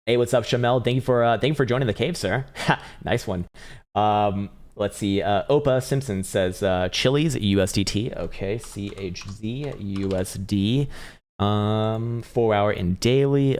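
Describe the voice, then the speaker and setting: A man hosting a live crypto trading stream, talking loosely and profanely with halting restarts as he reads viewer chat, his voice getting strained and hoarse. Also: lowish voice. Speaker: crypto trading livestream host. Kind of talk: casual live-stream chart commentary.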